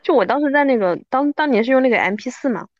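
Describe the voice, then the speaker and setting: A woman in her thirties chatting casually and reminiscing as a podcast guest, animated and self-mocking. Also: high-pitched, quick pace, no room sound, dull audio. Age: 30s.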